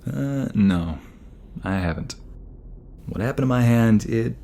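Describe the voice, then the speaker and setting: A man's voice: medium pitch, lively intonation, takes it slowly, creaky, dark tone, a little hiss or hum. A man playing a young romantic mobster, protective and teasing, in an intimate ASMR audio roleplay. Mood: reflective, candid.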